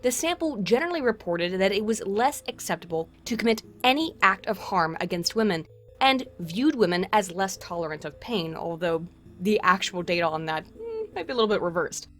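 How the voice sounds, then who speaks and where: A woman's voice: medium pitch, big pitch swings, brisk, a little hiss or hum. A woman narrating a scripted video essay in formal, wordy academic phrasing with wry asides. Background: music.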